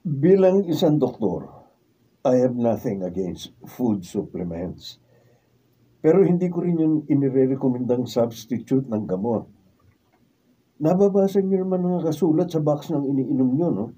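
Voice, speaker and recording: A man's voice: mid-range voice, animated, unhurried, dark tone, breathy edge. A man playing a reassuring but evasive doctor nephew in a Filipino radio drama, with a Filipino accent. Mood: explaining. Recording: distant mic.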